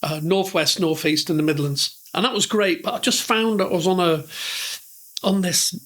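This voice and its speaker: A man's voice: high-pitched, normal speed, a bit bright. A middle-aged man with a northern English accent, telling rambling, self-deprecating stories as a podcast guest. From northern England. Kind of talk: conversational storytelling.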